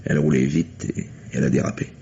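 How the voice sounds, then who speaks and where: A methodical cop in an old radio mystery, super deep voice, dull hissy recording. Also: male voice, flat delivery, quick pace, gravelly, warm tone. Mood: somber. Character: earnest, methodical New York police lieutenant. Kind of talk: French mystery radio play acting.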